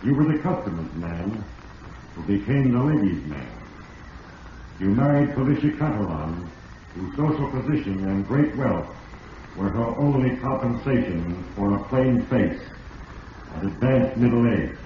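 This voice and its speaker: An actor voicing Fate as a portentous, deliberate narrator in an old-time radio mystery. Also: male voice, lowish voice, expressive. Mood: ominous, solemn. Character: Fate personified, ominous all-knowing male narrator. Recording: muffled old radio recording, echoing, distant, hissy.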